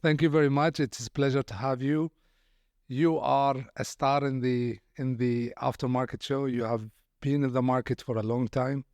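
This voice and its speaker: A man's voice: mid-range voice, steady tone, normal speed, darkish tone, dry recording. Courteous male podcast host, dry sound. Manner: courteous, repeats words while searching for phrasing. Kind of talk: podcast interview.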